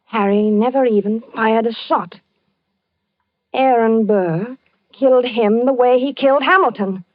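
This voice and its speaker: An actress playing an anguished, haunted wife in an old-time radio thriller, speaking and narrating with hushed dramatic intensity. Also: female voice, on the high side, a little slow, dry recording, natural voice. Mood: shocked, insistent. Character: anguished wife haunted by her husband's fatal dreams, narrating. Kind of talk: old-time radio suspense acting, first-person narration. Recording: dull vintage radio recording with music.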